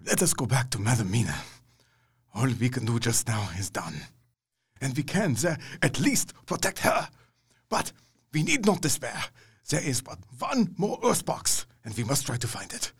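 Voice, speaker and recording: An elderly professor whose comes out in urgent, clipped commands. His voice is husky and gravelly, and it rises and falls widely. Faint music sits underneath. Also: mid-range voice, very animated, moderate pace, heavily acted. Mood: grave, steadying. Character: urgent elderly male vampire-hunting professor.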